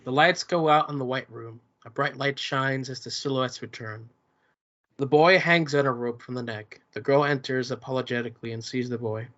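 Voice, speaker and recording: Man, stage directions, steady, bright, sharp, muffled lo-fi. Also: medium pitch, moderate pace. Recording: muffled lo-fi recording.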